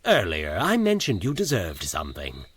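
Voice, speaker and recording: A man's voice: low voice, wildly swooping pitch, a bit bright, husky, heavily acted. A man voicing a gleeful, menacing masked demon in human guise with a courtly, theatrical manner, in a horror story. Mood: firm, menacing. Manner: courtly, theatrical, drawn-out delivery.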